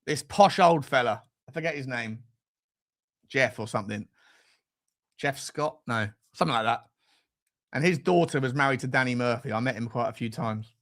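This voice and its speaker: A man's voice: medium pitch, moderate pace, long pauses, a bit bright. A British man in his forties hosting a casual football livestream, rambling and joking in slangy, sweary chat as he answers comments. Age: 40s. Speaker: host of a football fan livestream.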